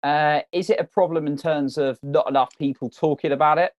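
A man's voice: on the high side, steady tone, brisk, dry recording, lo-fi sound. A man from the United Kingdom giving advice in a casual interview, earnest and encouraging, often repeating words as he thinks.

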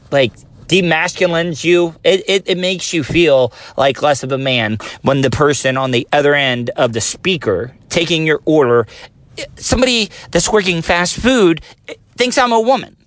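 A man's voice: on the high side, measured pace, a bit bright. A man in his forties with a thin voice, hosting a casual solo podcast, rambling and self-deprecating, recorded on a phone. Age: 40s. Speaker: podcast host.

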